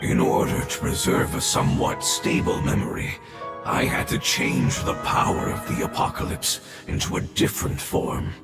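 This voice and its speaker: A man playing a weary, dying guardian creature in a video game, speaking solemnly and haltingly in a breathy rasp. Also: roomy sound, over music, lightly acted. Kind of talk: dramatic video game voice acting.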